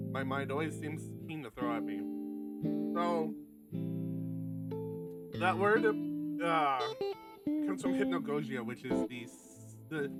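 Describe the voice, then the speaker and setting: A man streaming a game, reading aloud and chatting casually in a wry, sweary way, now and then stumbling over his words. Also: a little high. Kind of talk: casual read-aloud commentary with asides. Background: guitar music from the game.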